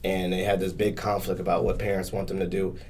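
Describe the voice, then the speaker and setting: A man's voice: low-pitched, little pitch movement, fast talker, a little mellow. Casual, colloquial man hosting a podcast. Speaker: podcast host. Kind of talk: casual conversational interview.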